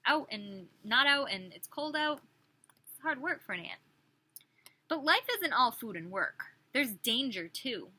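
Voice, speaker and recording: A woman's voice: sits high, pushed and bright. A woman preschool teacher reading a picture book aloud expressively, with questions and chatty asides for small children.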